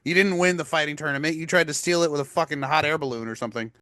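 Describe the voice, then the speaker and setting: A man's voice: a little high, racing, bright, forceful tone, no echo, studio quality. A man co-hosting a gaming podcast in casual chat, joking crudely and laughing often. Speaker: podcast co-host.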